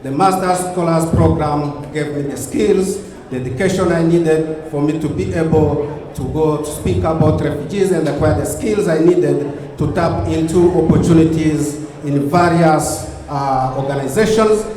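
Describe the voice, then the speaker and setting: A man with a Ugandan accent delivers an earnest keynote, his voice slightly high and mellow. He stacks up repeated phrases as he speaks. The sound rings through a big hall with a long, echoing tail. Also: unhurried. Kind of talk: gala speech. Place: banquet hall. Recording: echoey hall with long reverb.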